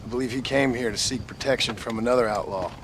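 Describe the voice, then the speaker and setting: An actor playing a laconic, hard-bitten American gunman of the frontier in a video game, terse and deadpan, with an American accent. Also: mid-range voice, fast talker, background noise, lightly acted. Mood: dry, guarded. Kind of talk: video game cutscene acting.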